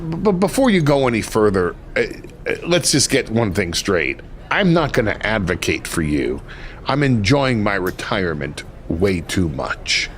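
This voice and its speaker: An actor playing a sly, grandiose retired wrestling manager in a video game, with ominous, theatrical delivery and deliberate dramatic pauses. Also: male voice, on the low side, big pitch swings, slight background noise. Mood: firm. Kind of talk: video game cutscene dialogue.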